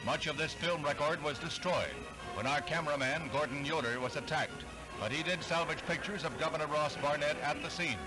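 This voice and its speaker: A man announcing an old newsreel in a brisk, formal style. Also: on the high side, poor audio. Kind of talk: vintage newsreel narration. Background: music.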